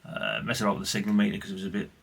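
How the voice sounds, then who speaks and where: A man's voice: on the low side, big pitch swings, racing, gravelly, breathy edge. A man, chatting casually and ramblingly as he presents old radio gear in a vlog. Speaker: vlogger showing vintage CB radios. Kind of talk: casual, unscripted chat.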